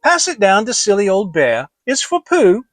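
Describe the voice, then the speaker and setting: Grown man doing a curious excitable little boy in a kids story, pitched up, eager. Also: moderate pace, no echo, soft background music. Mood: excited.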